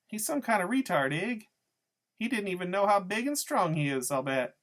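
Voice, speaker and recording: A man's voice: sits high, big pitch swings, moderate pace, a bit bright, pitched above the performer's own voice. A man voicing a giggly, mocking, slangy tough woman in a story reading. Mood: goading. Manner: teasing, taunting, slangy. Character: giggly, mocking, needling tough woman who drinks beer.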